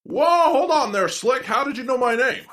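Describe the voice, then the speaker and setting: A man's voice: very high-pitched, normal speed, fairly bright tone. A man playing a dim, naive police officer in a comedic audio drama, gasping, stammering and taking everything literally. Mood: puzzled. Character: dim, dawdling male police officer, easily amazed.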